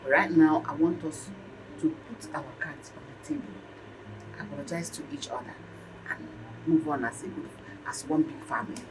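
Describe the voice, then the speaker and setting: A woman's voice: low-pitched, normal speed, close to an everyday voice. An actress playing a middle-aged, domineering mother in a melodramatic film, speaking in an emphatic, declamatory, repetitive way. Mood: contrite, pleading. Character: domineering mother and stepmother, fierce then ingratiatingly apologetic. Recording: clear background noise.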